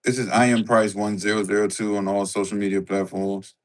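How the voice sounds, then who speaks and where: Man, podcast guest, blunt, profane, slangy, lowish voice. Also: fairly even intonation, brisk. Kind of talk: casual political chat.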